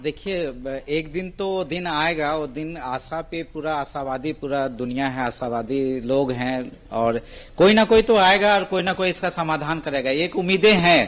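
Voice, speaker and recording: A man with a slightly raised pitch. He discusses politics as a guest, in a reflective, earnest way with a philosophical turn. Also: normal speed, a bit bright. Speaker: panel guest.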